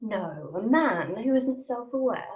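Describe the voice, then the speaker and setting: Woman as sardonic young journalist, swooping pitch. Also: lively intonation, measured pace, a little mellow. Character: sardonic sharp-tongued new female journalist. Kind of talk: amateur script table read.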